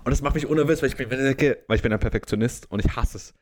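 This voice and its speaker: A man's voice: medium pitch, very fast. A young man with an Austrian German accent co-hosting a podcast, chatting animatedly with many fillers and stumbles. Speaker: podcast co-host. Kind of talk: casual chat.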